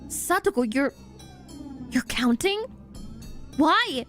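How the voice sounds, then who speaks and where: Woman playing a teenage boy, earnest, worried, halting, slightly high voice, visual novel, faint music behind. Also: very animated, a bit bright, heavily acted. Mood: alarmed.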